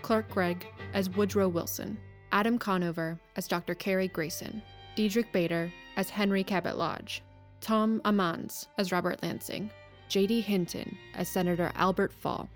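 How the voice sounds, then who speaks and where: A woman's voice: medium pitch, steady tone, moderate pace. A woman announcer giving a scripted reading of production credits. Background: music.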